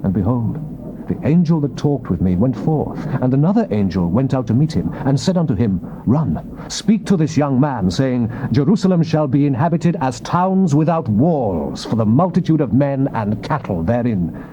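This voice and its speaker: Man, scripture narrator, solemn, declamatory, animated mid-pitched delivery, King James Bible dramatization, noisy old tape. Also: moderate pace, dull audio.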